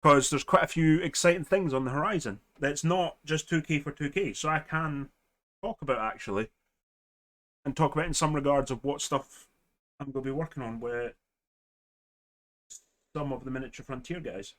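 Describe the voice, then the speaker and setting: A man with a slightly hoarse voice, hosting a casual, rambling hobby livestream in an informal way. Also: on the high side, moderate pace, pauses often, a bit bright. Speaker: live stream host.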